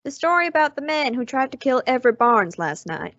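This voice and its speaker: A polite, earnest young clerk, played by a woman with a high voice. It is old-time radio drama delivery on a dull, dry recording. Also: very animated, a little fast, fairly bright tone, no room sound, full character voice. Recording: dull lo-fi audio.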